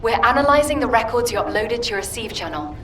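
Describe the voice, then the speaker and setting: A woman's voice: on the high side, expressive, quick pace, a bit bright, a little hiss or hum. A woman playing an earnest, playfully teasing young operations officer in a sci-fi video game, speaking articulately and expressively. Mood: focused, professional.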